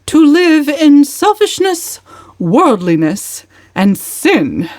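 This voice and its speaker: A woman acts out a pious older matron from a storybook. Her voice is pitched high and she draws out each word with sanctimonious weight. The sound is dry and close. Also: very animated, takes it slowly, darkish tone, no echo, heavily acted. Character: pious, self-righteous older matron preaching humility. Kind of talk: dramatic audiobook character voice.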